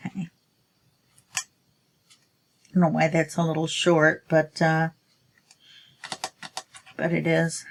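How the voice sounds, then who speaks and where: Low gravelly woman, stuffy and chatty. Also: monotone, slow, long pauses, slight background noise. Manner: chatty, self-talking while working, sniffly. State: stuffy nose. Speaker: crafting channel host. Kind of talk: casual crafting tutorial talk.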